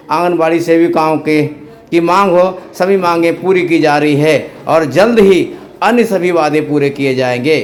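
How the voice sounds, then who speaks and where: Male news reporter, scripted narration, slightly high-pitched delivery. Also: slight background noise.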